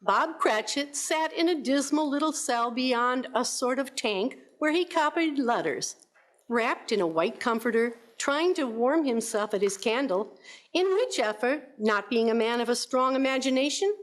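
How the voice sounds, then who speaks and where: Female storyteller, high register, scripted radio drama narration. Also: animated, unhurried.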